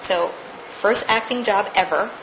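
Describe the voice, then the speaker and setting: A woman's voice: mid-range voice, measured pace, highs cut off, a little hiss or hum. A woman, a stage actress, recounting her theater career in a casual interview, laughing now and then.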